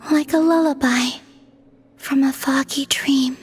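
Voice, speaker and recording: A woman voicing a serene, melancholy young moon goddess, breathy and whispery, speaking softly and poetically in a video game dub. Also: high voice, steady tone, soft background music. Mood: wistful. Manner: soft, slow and poetic.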